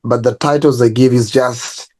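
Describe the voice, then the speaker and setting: Young man, ugandan accent, easygoing storyteller, interview guest, mid pitch. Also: moderate pace. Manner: relaxed storytelling with laughs and restarts. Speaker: guest, personal trainer. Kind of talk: casual interview.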